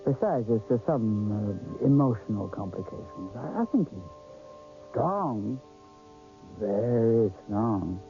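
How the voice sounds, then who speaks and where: A man's voice: on the low side, lively intonation, slow. A man playing a guarded, authoritative supervisor of gifted children in a radio drama, hesitant at times and firm at others. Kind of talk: 1980s radio drama, one side of a conversation. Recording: thin phone-like radio sound, faint music.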